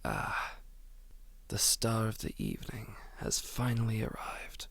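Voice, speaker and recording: A man playing a menacing aristocratic Duke in an ASMR audio drama, courteous and quietly threatening, often whispering. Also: on the low side, very animated, unhurried, gravelly, full character voice. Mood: courtly. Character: menacing aristocratic male Duke, manor lord.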